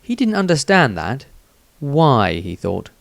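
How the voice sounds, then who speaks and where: A male author narrates a fantasy tale in a medium-pitched voice. His reading is deliberate and dramatic, and the sound is dry with little room to it. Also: huge pitch swings, creaky, dry recording. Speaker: author reading own story. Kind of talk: scripted fantasy audiobook narration.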